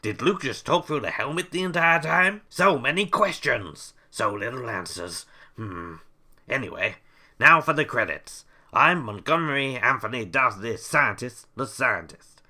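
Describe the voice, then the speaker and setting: An actor playing an eccentric, breezily callous, self-important scientist, musing to himself as presenter in an audio drama monologue. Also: male voice, medium pitch, expressive, unhurried, pushed and bright, exaggerated voice. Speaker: in-story podcast presenter.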